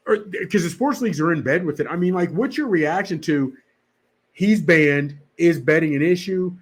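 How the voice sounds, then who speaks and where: A man in a casual sports podcast, telling stories and firing off rhetorical questions in an animated way. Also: a little high.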